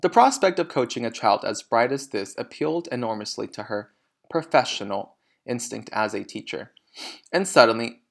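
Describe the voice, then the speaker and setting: A man's voice: medium pitch, a bit bright. Man reading a children's book aloud. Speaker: storybook reader.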